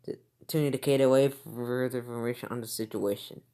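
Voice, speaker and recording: An emergency alert announcer reading a scripted warning in a formal, flat manner. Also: medium pitch, fairly quick.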